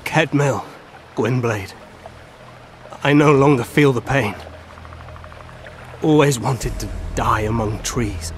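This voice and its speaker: An actor playing a mortally wounded elf seer in a video game, haunted and mournful, speaking weakly and haltingly. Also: male voice, mid-range voice, a little hiss or hum, close to an everyday voice. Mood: pained. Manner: slow, halting, labored. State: weak from fatal wounds, as acted.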